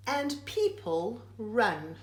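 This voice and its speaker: A woman's voice: medium pitch, big pitch swings, drawn-out words. A woman reading a rhyming children's picture book aloud like a teacher, phrase by phrase with dramatic emphasis. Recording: echoey room.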